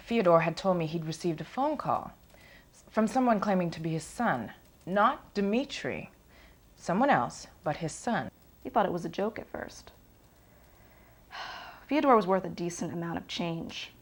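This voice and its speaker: A woman playing a candid, self-assured girlfriend, speaking frankly in a dramatised documentary interview. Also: on the low side.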